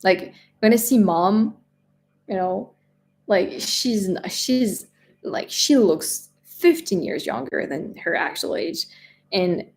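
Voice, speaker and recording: A woman's voice: medium pitch, lively intonation, takes it slowly, creaky, darkish tone, slight background noise. A woman in her twenties with a French accent, chatting casually on a live stream, rambling with many fillers and restarts. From France. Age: 20s. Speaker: travel vlogger answering live chat.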